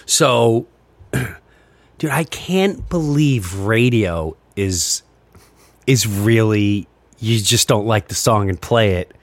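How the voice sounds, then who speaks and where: A man hosts a casual podcast with a highly animated delivery. His pitch jumps around as he tells stories and mimics quoted remarks. The sound is dry and close. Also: takes it slowly, no echo. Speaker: podcast host and comedian.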